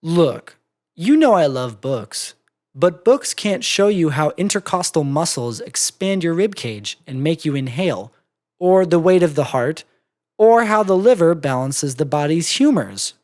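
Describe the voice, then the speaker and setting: Brief: narrator playing unflappable female cartoon pony, middle pitch, unhurried, reasonable and explanatory. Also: big pitch swings, a little mellow. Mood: matter-of-fact.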